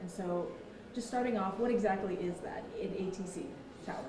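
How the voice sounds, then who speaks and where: A woman's voice: medium pitch, brisk, warm tone. A woman news reporter asking casual interview questions. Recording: echoey room, background noise.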